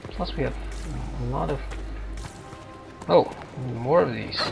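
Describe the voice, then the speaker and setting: A man with a mid-pitched, creaky voice talks through a video game as he plays. His commentary is casual and wanders as he thinks aloud. Music plays clearly behind him. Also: slow pace. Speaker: gamer narrating own playthrough.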